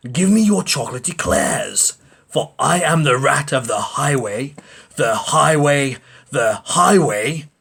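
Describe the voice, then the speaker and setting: A greedy highwayman rat from a storybook, acted out by a man, with a husky edge. He swoops up and down as he bellows scornful commands and stretches out his threats. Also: animated, takes it slowly, a bit bright, exaggerated voice. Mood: menacing, scornful. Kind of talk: storybook character voice.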